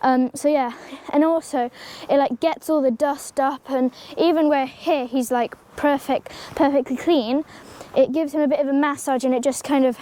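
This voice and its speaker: A woman's voice: sits high, steady tone, moderate pace, few pauses, warm tone. Chatty teen girl with a cold. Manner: chatty, rambling, many ums and likes, talks to the pony. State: has a slight cold. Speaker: horse-care vlogger. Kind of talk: casual how-to tutorial. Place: outdoor stable yard beside a pony.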